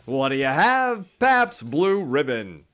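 A man's voice: on the high side, very animated, slow, music in the background. A man announcing in the style of a 1950s radio show and its sponsor ads.

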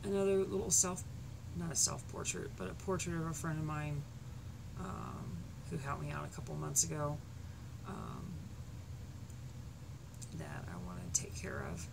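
A woman's voice: deep voice, very animated, measured pace, gravelly, pauses often. A woman in her thirties with a scratchy voice, talking in a rambling, hesitant way with many ums, filmed on a webcam in a small bedroom. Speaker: artist vlogging to supporters. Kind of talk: casual unscripted update. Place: small cluttered bedroom at night. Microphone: webcam at desk. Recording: noisy home webcam audio.

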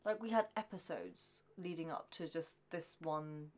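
A woman's voice: low voice, measured pace. A young woman co-hosting a reaction show, chatting casually and emphatically at a desk microphone in a room at home. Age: 20s or 30s. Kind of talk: casual chat. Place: small furnished room at home. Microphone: desk microphone in front.

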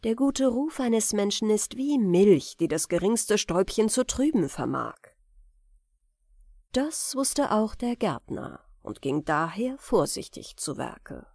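Woman, audiobook narrator, bedtime fairy tale, slow pace, dry close sound. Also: medium pitch, big pitch swings, a little mellow, no echo.

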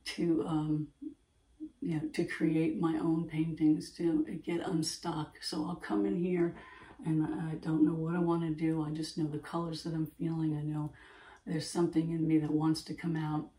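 Woman, 50s, gravelly, earnest rambler, recorded a bit off-mic. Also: deep voice, flat delivery, normal speed, warm tone, distant mic. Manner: earnest, rambling, repeats herself. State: throat irritation, clearing throat. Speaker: artist, channel host. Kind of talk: casual talk to camera. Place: bright home art studio by windows. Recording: echoey room, voice from off-mic.

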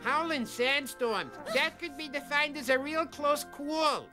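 An actor playing an excitable, folksy little creature sidekick in a cartoon, colloquial and exclamatory. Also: male voice, very high-pitched, a little slow, long reverb tail, music in the background. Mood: relieved. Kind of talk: cartoon acting.

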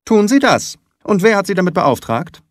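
Audiobook role: cantankerous, miserly little old nobleman , acted by a male reader, screechy, raised pitch, commanding and very animated. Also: moderate pace, dry recording, studio quality. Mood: suspicious, demanding. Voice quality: sharp, screeching. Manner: tense, commanding, indignant. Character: quarrelsome, stingy, twitchy little old aristocrat. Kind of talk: dramatised audiobook reading.

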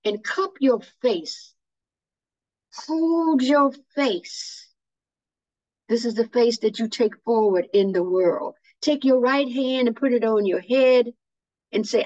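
A woman leading a guided imagery meditation in a slow, soothing, devotional manner over a video call. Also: mid-range voice, a little mellow, no room sound. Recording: muffled, dry video call audio.

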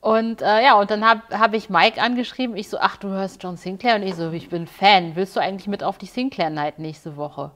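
A woman in her forties chatting casually as an interview guest, telling stories in an animated way and acting out dialogue. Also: medium pitch, a little fast, hardly any pauses. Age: 40s. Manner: animated storyteller, acts out quoted dialogue. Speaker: interview guest, podcast presenter and radio journalist. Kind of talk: casual anecdotal chat. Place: small studio set, indoors.

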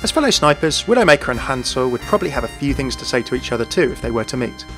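A man presents scripted gaming commentary as a video host. His pitch is average and he talks quickly, with faint music underneath. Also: very animated, a bit bright.

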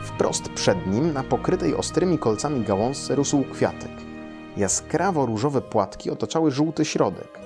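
A man's voice: mid-range voice, animated, unhurried, over music. A man around his late thirties or forties, speaking as a storyteller for children. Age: 30s to 40s. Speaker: storyteller and translator reading his translation. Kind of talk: children's story read aloud. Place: small quiet room indoors, seated in an armchair.

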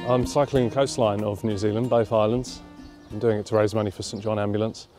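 A man, speaking informally and thoughtfully, with halting phrases, in an interview. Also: lowish voice, little pitch movement, loud music behind the voice. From London, England. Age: 30s. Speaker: interviewee, charity cyclist. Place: outdoors at a leafy campground.

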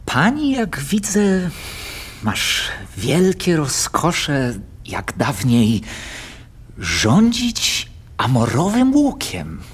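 An actor playing a sly, insinuating gentleman in a verse radio play, with a mannered delivery. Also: a little high, huge pitch swings, very slow, slight background noise, full character voice. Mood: sly, mocking. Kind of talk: theatrical radio drama in verse.

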